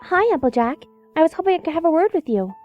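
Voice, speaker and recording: Woman as a thin-sounding, high-voiced polite mare. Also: big pitch swings, fast, soft background music. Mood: courteous. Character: polite, earnest young unicorn mare friend. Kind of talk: audio drama character acting. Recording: thin, phone-like narrow band.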